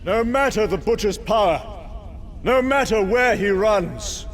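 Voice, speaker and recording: A man's voice: high voice, unhurried, pitched above the performer's own voice. A man playing a proud, vengeful warrior thunder god in a comic book drama, declaiming solemnly and defiantly. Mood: vengeful resolve. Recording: dramatic music behind the voice.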